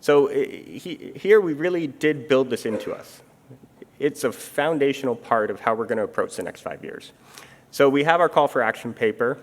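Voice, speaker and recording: A middle-aged man from Canada speaking in a measured, explanatory way, with many fillers, in an onstage armchair conversation. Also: mid-range voice, expressive, a little hiss or hum. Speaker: international insurance supervision official. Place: conference stage. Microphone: handheld or stage microphone.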